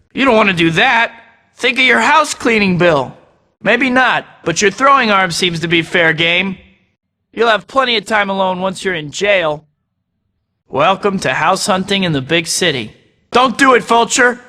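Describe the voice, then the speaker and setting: A man's voice: high voice, in a large hall, heavily acted. An actor playing a sarcastic young male superhero in a video game, full of teasing quips. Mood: taunting. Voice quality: bright. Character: wisecracking young male superhero, cocky and joking. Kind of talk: quippy game voice clips.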